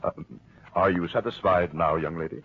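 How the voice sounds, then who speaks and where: An actor playing a smooth, patronizing husband and official in a 1940s radio crime drama, speaking with condescending urbanity. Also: male voice, sits low, normal speed. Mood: smooth condescension. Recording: muffled old-time radio broadcast recording.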